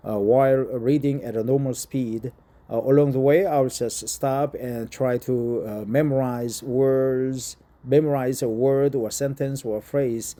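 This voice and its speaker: A Korean-accented man speaks English slowly and deliberately, reading a travel article aloud and often pausing on "uh". His voice is dark and mellow, and he sits outdoors on a bench in a courtyard. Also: mid-range voice. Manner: hesitant, frequent uh fillers, careful deliberate reading. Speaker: vlogger. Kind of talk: casual talk and reading aloud.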